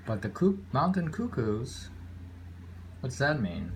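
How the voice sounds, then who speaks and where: Male poetry reader, unhurried and musing, casual asides between haiku, audible noise on a homemade recording. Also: medium pitch, sing-song. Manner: deliberate, pausing, musing between poems.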